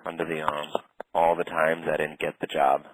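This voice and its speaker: A man guiding a tapping session in a calm, steady way, naming tapping points and saying short phrases for the other person to repeat. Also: extremely low pitch, background noise. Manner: calm, slow, leads phrases for repetition. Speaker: tapping practitioner.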